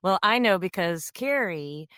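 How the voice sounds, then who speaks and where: A woman in her thirties or forties co-hosting a casual podcast, a little hoarse from a cold and laughing often. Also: mid-range voice, expressive, a little slow, fairly bright tone, dry recording, studio quality. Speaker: podcast co-host. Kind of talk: casual chat.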